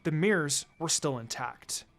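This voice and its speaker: A man's voice: fairly high pitch, lively intonation, unhurried, a bit bright. A man reading fan fiction aloud as dramatic first-person story narration. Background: background music.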